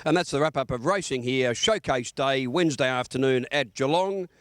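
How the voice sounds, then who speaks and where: A man's voice: mid-range voice, moderate pace, a bit bright, no echo, clean studio sound. A man with an Australian accent reading a scripted horse racing report.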